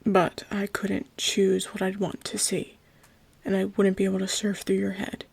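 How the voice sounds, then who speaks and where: A woman playing a gentle, patient trapped god in an ASMR roleplay, speaking in a breathy, often whispered voice with careful reassurance. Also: mid-range voice, completely flat delivery. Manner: slow, careful, reassuring explaining. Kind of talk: ASMR roleplay, scripted intimate monologue.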